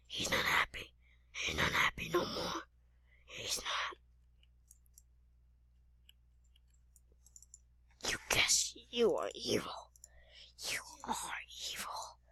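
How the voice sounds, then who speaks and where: Woman doing a hissing, croaky creepy villain. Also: medium pitch, huge pitch swings, sharp tone, heavily acted. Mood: gloating. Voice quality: harsh, hissing, raspy whisper. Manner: slow, threatening, repeating phrases. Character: menacing, gloating villain out to kill. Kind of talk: amateur improvised horror play-acting.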